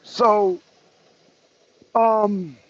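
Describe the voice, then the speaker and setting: A man's voice: sits high, fairly even intonation, very slow. A man hosting a political talk show, giving blunt, emphatic commentary and repeating phrases for effect. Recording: dull lo-fi audio.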